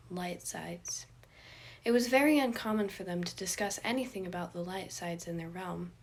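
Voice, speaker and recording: Slightly husky woman narrating fiction. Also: lowish voice. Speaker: podfic narrator.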